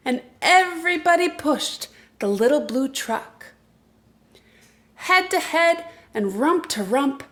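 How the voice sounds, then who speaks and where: A woman reading a storybook aloud for young children, in an expressive, deliberate, rhythmic delivery. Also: high-pitched.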